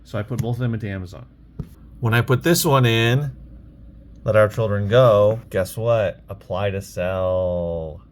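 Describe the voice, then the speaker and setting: A man's voice: on the low side, a little slow. A man chatting casually in a vlog about his own work, offhand and thinking aloud as he goes. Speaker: online reseller and bookstore owner, vlog host. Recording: clear background noise.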